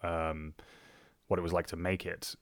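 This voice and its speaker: A man with a notably deep voice talks as a podcast co-host. He is informal and rambles through his thoughts in casual conversation. The sound is dry, with little room on it. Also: little pitch movement, unhurried, fairly bright tone, no room sound. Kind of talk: casual chat.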